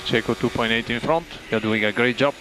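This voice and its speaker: A man's voice: mid-range voice, steady tone, fairly quick. A man working as a race engineer, giving terse, clipped technical instructions and numbers over team radio. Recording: noisy motor racing radio link.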